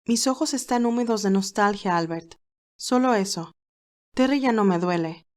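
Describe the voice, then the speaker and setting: A woman voicing a tender, sincere, nostalgic young woman in a dramatized romantic story, speaking earnestly and gently. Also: mid-range voice, normal speed, lightly acted. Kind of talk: dramatized romantic fan fiction.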